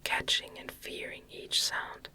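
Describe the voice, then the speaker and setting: ASMR reader for gothic classic: whispers throughout, very slow and deliberate, soft delivery. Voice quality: breathy, unvoiced whisper. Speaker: ASMR narrator reading a novel aloud. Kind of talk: whispered ASMR audiobook reading of gothic fiction.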